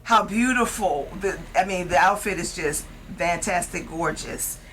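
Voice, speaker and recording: A woman hosting a talk show, chatting casually and gushing with animated praise. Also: fairly low pitch, unhurried, slight background noise, low-quality recording. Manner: animated, effusive, exclaiming praise. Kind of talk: casual chat.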